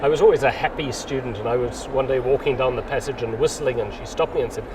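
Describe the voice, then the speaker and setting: A man in his sixties answering interview questions casually, rambling with frequent restarts. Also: mid-range voice. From South Africa. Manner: rambling, restarts often, unhurried. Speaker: interviewee, mycologist. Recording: distant mic, loud outdoor noise.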